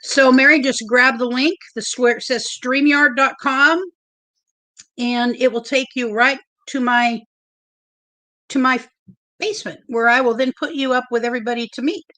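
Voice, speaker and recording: A woman hosting a casual livestream, chatty and a little nervous, with a loud, big voice. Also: sits high, steady tone, moderate pace. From Oregon. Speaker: livestream host.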